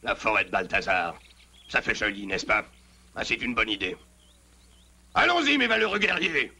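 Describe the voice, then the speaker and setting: A man voicing a domineering, menacing cartoon lion with a raspy voice and a pompous, commanding manner. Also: lowish voice, big pitch swings, moderate pace, noisy background. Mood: scheming, pleased. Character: domineering, menacing lion who seizes the forest as ruler. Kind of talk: French animated children's cartoon acting.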